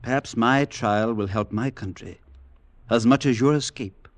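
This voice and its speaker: An actor playing a grave, resolute conspirator helping a friend, solemn and deliberate in 1940s radio style. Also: male voice, mid-range voice, natural voice. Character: grave, resolute conspirator turned helpful ally. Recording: 1940s radio drama broadcast.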